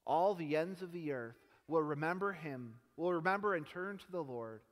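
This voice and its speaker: A young man reading scripture aloud in a large church sanctuary, slow and measured, his phrases dropping softly at the ends. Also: on the high side. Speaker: scripture reader. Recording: long church hall reverb.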